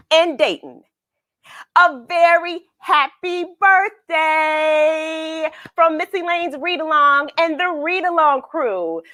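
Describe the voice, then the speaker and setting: A woman's voice: high voice, pushed and bright, no echo. A woman hosting a children's read-along, chatting informally in a playful, affectionate, encouraging way and repeating phrases for emphasis.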